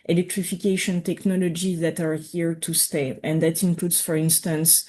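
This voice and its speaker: A woman's voice: lowish voice, little pitch movement, normal speed, darkish tone. A middle-aged woman with a Quebec French accent, presenting on a webinar panel over a video call, hesitant with many fillers and restarts. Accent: French Canadian. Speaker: utility panelist.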